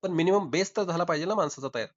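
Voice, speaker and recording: A man talks very quickly on a recorded phone call with dull, lo-fi sound. He argues his side insistently, repeating points as he goes, in a slightly high voice. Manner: insistent, argumentative, repeating himself. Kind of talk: informal debate.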